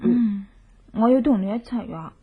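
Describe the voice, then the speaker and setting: A cook's scheming wife in a Hong Kong Cantonese radio drama. Her voice is high and coquettish, wheedling with playful boldness. It comes through thin and band-limited, like an old radio recording. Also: moderate pace, breathy edge, narrow, tinny audio. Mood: coaxing.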